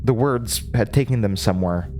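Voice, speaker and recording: A man playing a disturbed, haunted storyteller in a horror audio drama, speaking in a halting, theatrical way over music. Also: fairly low pitch, a little mellow, slight background noise, close to an everyday voice. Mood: wistful, unsettled. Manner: halting, theatrical, with nervous laughter and drops into whispers. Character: disturbed, haunted storyteller recounting a horrifying childhood. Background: prominent music.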